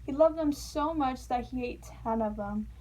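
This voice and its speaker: A woman narrating a children's storybook aloud in an expressive, playful storytelling manner. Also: sits high, moderate pace, poor audio. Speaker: storybook reader.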